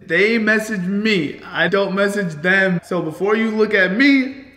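A man in his late twenties bantering casually in a vlog, animated and slangy, often repeating himself. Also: sits high, measured pace, soft background music. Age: late 20s. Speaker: vlog host. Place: indoor kitchen bar area at home. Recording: echoey, reverberant room.

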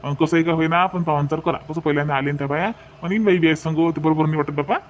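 Fast-talking, practical village uncle played by a man. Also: on the high side, fairly even intonation, natural voice. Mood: reassuring, practical. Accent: Varhadi Marathi. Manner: insistent, matter-of-fact. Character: practical, hospitable village uncle, firm husband. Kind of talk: cartoon comedy dialogue. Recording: dull lo-fi sound, faint noise.

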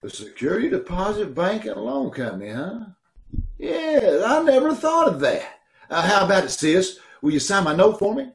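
A man portrays a pleading, penniless brother in an old-time radio comedy. The voice is high and very animated, with needy, exasperated inflections. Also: moderate pace, exaggerated voice. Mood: hopeful. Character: pleading, broke brother begging for a loan. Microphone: video call.

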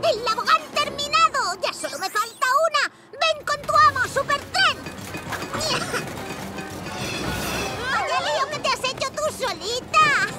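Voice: very high voice, expressive, normal speed, hardly any pauses. A performer voicing a boastful, bossy boy villain in a cartoon dub, taunting and theatrical, with a Castilian Spanish accent. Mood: triumphant, taunting. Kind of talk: animated children's cartoon dub. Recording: music behind the voice.

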